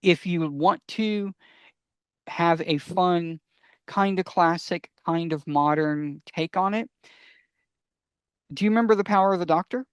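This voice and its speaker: A man's voice: high-pitched, unhurried, pauses often, clear, resonant tone, no echo. A man co-hosting a podcast in casual talk, eagerly explaining his recommendations and answering his own questions. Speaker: podcast co-host.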